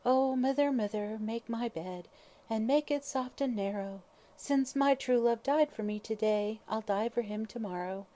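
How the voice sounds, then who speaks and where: Woman singing as a sick old woman, softly mournful. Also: fairly high pitch, measured pace, pitched above the performer's own voice, natural voice.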